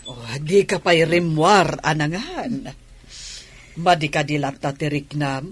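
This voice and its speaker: A woman with an Ilocano Filipino accent playing a concerned, coaxing older aunt in a radio drama, with a husky voice. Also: sits low, lively intonation, a little slow, a little hiss or hum.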